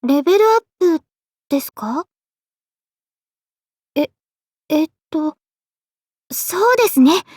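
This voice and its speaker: A young heroine mage from a Japanese fantasy game. Her voice is high, clear and youthful, with an earnest, courteous manner. The recording is close and dry, free of room sound. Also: expressive, slow pace, long pauses, a little mellow, no room sound. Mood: polite, modest. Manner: polite, earnest. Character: young girl mage, tomboyish, modest, earnest, later a solemn king. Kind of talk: Japanese game character voice lines.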